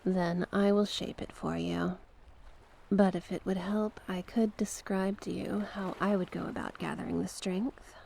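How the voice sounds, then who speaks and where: A woman plays a mysterious sea witch for an ASMR fantasy roleplay. The voice is on the low side and full of gravelly fry, moving very slowly. The witch coaxes and encourages with soothing, formal storybook care, softening at times toward a whisper. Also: steady tone, a little hiss or hum, poor audio. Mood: tender, encouraging. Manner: gentle, coaxing, formal storybook phrasing. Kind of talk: ASMR roleplay, slow scripted monologue.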